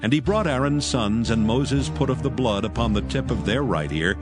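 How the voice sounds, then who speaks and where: A man narrating scripture from a script in a solemn manner, with measured phrasing. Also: mid-range voice, animated. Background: music.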